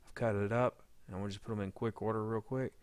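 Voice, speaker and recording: A man's voice: fairly low pitch, steady tone, fast, clean tone. A man giving a casual, unscripted editing tutorial, meandering as he thinks aloud. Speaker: audio drama editor giving a demo.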